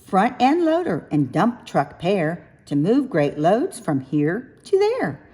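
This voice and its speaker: A woman reading a rhyming picture book aloud for young children, with expressive, sing-song, deliberate phrasing. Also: medium pitch, slightly rough. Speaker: children's storybook reader.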